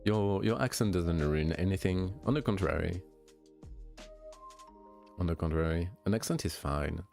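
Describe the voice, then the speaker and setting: A young man with a light French accent, a streamer chatting casually with viewers, relaxed and hesitant with many fillers and restarts. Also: deep voice, normal speed, creaky, soft background music. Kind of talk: casual chat answering viewers.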